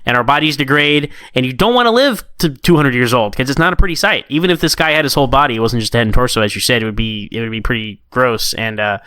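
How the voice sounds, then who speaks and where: A man co-hosting a casual review discussion, informal and opinionated, talking in an animated way. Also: mid-range voice, quick pace, hardly any pauses, smooth, dry recording. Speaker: podcast co-host.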